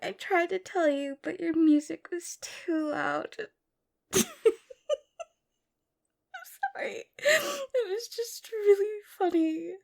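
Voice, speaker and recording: A woman's voice: extremely high pitch, takes it slowly, creaky, pitched above the performer's own voice, exaggerated voice. A woman playing a playful, teasing, affectionate girlfriend in a casual, intimate audio roleplay. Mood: amused, giggly.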